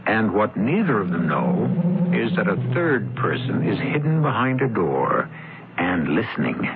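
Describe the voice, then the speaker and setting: Low-voiced dramatic mystery narrator, male. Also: very animated, unhurried, very noisy. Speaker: host and narrator. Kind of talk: scripted suspense radio narration. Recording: narrow-band radio broadcast, loud music behind.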